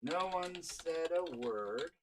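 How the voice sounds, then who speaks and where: A man's voice: fairly high pitch, animated, takes it slowly, slight background noise. A man narrating a written fantasy tabletop story aloud as the game's storyteller. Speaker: game storyteller reading aloud. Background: background music.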